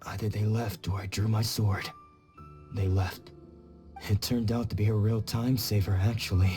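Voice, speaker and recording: An actor playing a stern, blunt swordsman in a video game, terse and deadpan with dry menace. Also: fairly low pitch, moderate pace, soft background music, lightly acted. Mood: dryly menacing.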